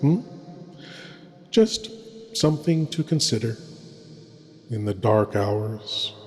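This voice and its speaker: Slow, ominous male horror host in reverb. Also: fairly low pitch, animated, a little mellow. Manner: ominous, deliberate, dramatic pauses. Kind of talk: theatrical scripted horror hosting. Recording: long hall-like reverb. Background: music.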